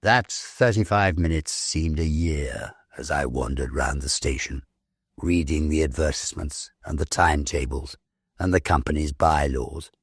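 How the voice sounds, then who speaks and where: A British man reading a story aloud in an expressive, theatrical, measured literary narration style. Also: a bass voice, slightly rough, no echo, clean studio sound. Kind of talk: expressive dramatic audiobook narration.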